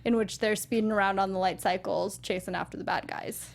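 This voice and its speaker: A woman's voice: mid-range voice, steady tone, a little fast, gravelly. A woman co-hosting a casual movie review show, chatty with lots of fillers and laughter. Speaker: podcast co-host.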